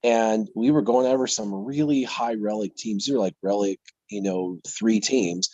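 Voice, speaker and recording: A man with a low, husky voice rambles through a story on a live panel chat. He talks casually and animatedly. The sound is dry, with little room. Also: normal speed, mellow, dry recording, highs cut off. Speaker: streamer and panelist.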